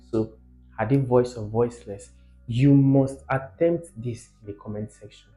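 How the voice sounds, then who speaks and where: A man's voice: on the low side, darkish tone, rough recording. Man teaching, music behind him. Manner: emphatic, repeats key words, asks rhetorical questions. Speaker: teacher, video host. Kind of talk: informal teaching, explanatory.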